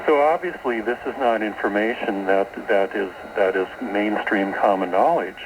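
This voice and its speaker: A man's voice: on the low side, normal speed, background noise. A man being interviewed as a guest author on a radio show, explaining esoteric ideas and often repeating words as he goes, taped from a shortwave broadcast. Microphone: radio broadcast, taped off air.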